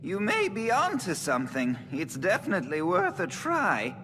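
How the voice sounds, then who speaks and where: An actor playing a mild, encouraging, amused scholar in a video game. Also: male voice, high voice, huge pitch swings, normal speed, pushed and bright, heavily acted. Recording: long hall reverb, faint noise.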